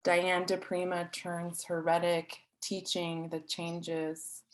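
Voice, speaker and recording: A woman with a low voice reads a prepared, poetic introduction as an event host. Her delivery is slow and even, formal and carefully measured from phrase to phrase. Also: rough recording.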